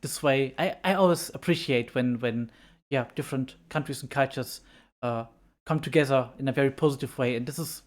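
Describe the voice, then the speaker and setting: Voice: mid-range voice, a little fast. Hesitant panel host. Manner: hesitant, many uhs and restarts, laughs often. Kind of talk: panel interview.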